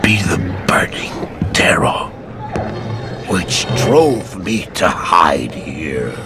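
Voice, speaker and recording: An actor playing a haunted, guilt-ridden old madman in a video game, frail and breathy, halting and tormented as he tells his tale. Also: sits low, expressive, in a whisper, full character voice. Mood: haunted, agitated. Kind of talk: video game cinematic acting and narration. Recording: loud music under the voice. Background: loud music.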